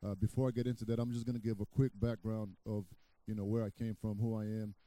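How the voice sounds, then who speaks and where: A middle-aged man from Brazil, a guest pastor preaching a sermon, halting with restarts, then loud and emphatic. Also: fairly low pitch, flat delivery, dry recording.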